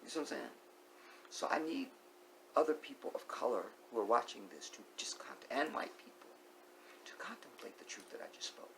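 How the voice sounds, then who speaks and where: Gravelly-voiced man giving emphatic commentary. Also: medium pitch, big pitch swings, a little fast, a little hiss or hum, poor audio. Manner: emphatic, repeats key phrases, sinks to a hushed whisper; rhetorical questions to the viewer. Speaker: vlogger. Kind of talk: opinionated monologue commentary.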